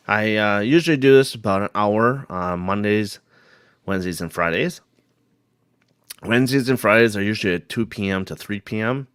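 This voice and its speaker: A man hosting a paranormal live stream, hoarse from a cold, rambling casually and greeting viewers by name. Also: lowish voice, normal speed, long pauses, a bit bright, no echo.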